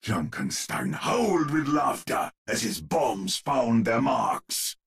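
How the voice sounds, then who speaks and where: An actor playing a grim narrator of gothic horror tales in a video game, with a gravelly, husky voice and grandiose, suspenseful delivery. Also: male voice, medium pitch, sing-song, slow pace, full character voice. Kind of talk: theatrical gothic horror storytelling.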